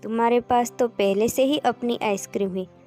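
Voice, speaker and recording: Cartoon fable lead: woman acting a proud, greedy village ice cream woman, fairly high voice, expressive, faint music underneath. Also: normal speed, lightly acted. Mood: brisk. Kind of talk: Hindi cartoon moral-story dialogue.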